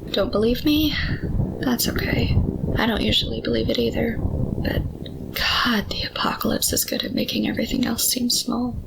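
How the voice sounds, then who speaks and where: Creaky, shaken survivor. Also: female voice, a little high. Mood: forced composure. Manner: halting, struggling to stay light. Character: shaken female apocalypse survivor broadcasting makeup tips, fragile, forced composure. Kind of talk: audio drama radio broadcast monologue. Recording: noisy radio broadcast.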